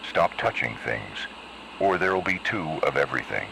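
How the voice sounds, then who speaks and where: A man voicing a grave narrator of eerie analog horror warnings, speaking in an ominous, measured and deliberate way over a noisy tape-style recording. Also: sing-song, heavily acted. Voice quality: very deep, gravelly.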